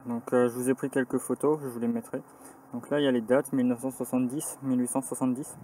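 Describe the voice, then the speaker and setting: A man's voice: medium pitch, level tone, quick pace, mellow, low-quality recording. A young man casually narrating his cycling trip to a handheld camera, chatty and self-mocking with many fillers, a bit tired. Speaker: cycling vlogger. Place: outdoors in a village and a forest, inside a small bunker. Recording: outdoor camera audio with clear ambient noise.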